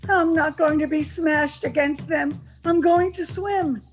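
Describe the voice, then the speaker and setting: A woman's voice: sits high, fairly even intonation, a little slow, soft background music. A woman playing a frightened, self-excusing accomplice, urgent and pleading, in a melodramatic old-time radio horror play. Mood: terrified.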